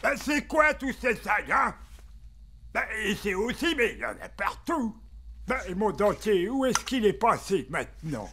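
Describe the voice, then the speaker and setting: High, flustered cartoon grandpa. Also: male voice, very animated, measured pace, bright, forceful tone, slight background noise, full character voice. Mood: puzzled, grumbling. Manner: grumbling, exclamatory, flustered. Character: flustered, grumbling old grandfather without his dentures. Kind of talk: animated cartoon dubbing.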